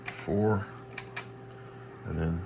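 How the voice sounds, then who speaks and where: A very low-voiced man talks through a casual equipment demonstration. He speaks haltingly, thinking aloud as he goes, with clear static and hiss behind him. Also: fairly even intonation. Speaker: radio hobbyist demonstrating equipment. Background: shortwave radio noise.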